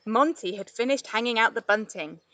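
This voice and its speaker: A woman narrates a children's story expressively. Her voice is bright and on the high side, and the recording is dry with very little room sound. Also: expressive, moderate pace, no echo. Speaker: storybook reader.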